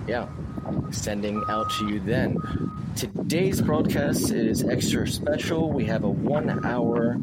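Low-voiced man hosting over loud noise. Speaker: artist in residence hosting a radio broadcast. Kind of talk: informal live radio introduction. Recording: very noisy, loud background ambience.

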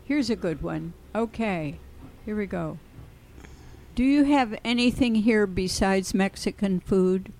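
A woman reading out movie quotes for a radio quiz, slow and deliberate. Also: medium pitch, darkish tone, a little hiss or hum. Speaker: quiz reader.

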